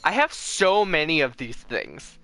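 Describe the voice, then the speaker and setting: A man hosting a casual gaming livestream with a bright, fairly high voice. He is chatty and excitable, talking straight to his viewers over light background music. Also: a little slow, rough recording. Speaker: livestream host, gamer.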